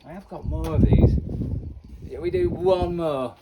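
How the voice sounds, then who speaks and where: A man's voice: on the high side, unhurried, phone-quality sound. A man giving casual, unscripted commentary as a vlogger, rambling and muttering to himself. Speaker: amateur vlogger going through old tapes. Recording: very noisy amateur recording with clicks.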